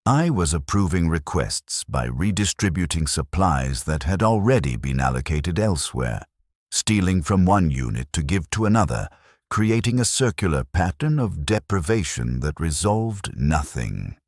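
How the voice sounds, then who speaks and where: A man narrating a scripted sci-fi war story as a weary, resigned military logistics officer, solemn and measured. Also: sits low, sing-song, a little mellow, dry recording, studio quality, full character voice. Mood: somber, resigned.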